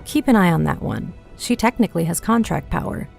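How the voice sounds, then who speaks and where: Creaky-voiced, curious confidante, acted by a woman. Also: fairly low pitch, lively intonation, fairly quick, a little mellow, faint music behind, exaggerated voice. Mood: musing, inquisitive. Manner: confiding, inquisitive. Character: Helena, curious, teasing confidante and friend. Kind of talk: fantasy audiobook dialogue over music.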